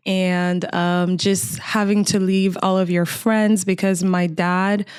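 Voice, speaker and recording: Cameroonian woman with a smooth, clear voice. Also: on the low side, flat delivery, slow, a little mellow, no echo, clean studio sound. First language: French. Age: 30s. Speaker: podcast guest. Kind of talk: casual interview conversation.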